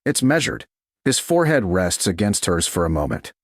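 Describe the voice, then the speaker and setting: A man with a fairly deep voice narrates a romantic story as an audiobook. His pitch moves widely across short, measured, dramatic phrases. The sound is close and dry, with very little room in it. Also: big pitch swings, dry recording.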